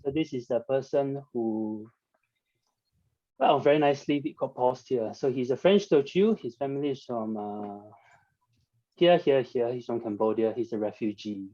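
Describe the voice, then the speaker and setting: A man tutoring casually on a video call, explaining in a relaxed, offhand way. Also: mid-range voice, moderate pace. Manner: relaxed, offhand, thinks aloud. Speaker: dialect tutor. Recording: dull video call audio.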